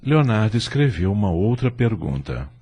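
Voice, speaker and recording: A man with a Brazilian accent giving formal, measured scripted narration for an audio drama. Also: fairly low pitch, sing-song, dark tone, slightly rough.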